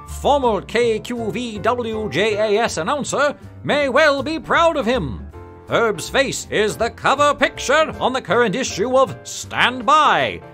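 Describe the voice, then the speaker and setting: A man's voice: high voice, bright, forceful tone. A man reading a news item in a scripted announcer style. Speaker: reader of an old news item.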